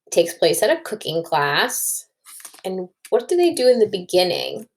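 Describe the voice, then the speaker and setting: A woman's voice: mid-range voice, very animated, moderate pace. A woman teacher doing a children's read-aloud and story discussion, thinking aloud, recorded indoors at a computer.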